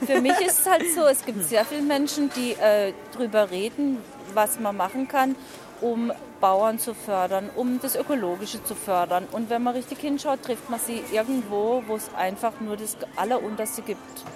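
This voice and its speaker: A woman's voice: fairly high pitch, normal speed. A woman farmer and market seller answering interview questions informally at her outdoor stall. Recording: busy outdoor market chatter.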